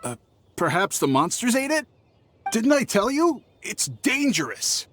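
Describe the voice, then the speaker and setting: An actor playing a nervous traveling merchant in a game, with a hesitant, stammering, evasive delivery. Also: male voice, extremely high pitch, sing-song, measured pace, a bit bright, full character voice. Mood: defensive, flustered. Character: nervous, shifty traveling merchant man with dubious excuses. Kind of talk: animated game voice acting. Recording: music behind the voice. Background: game music.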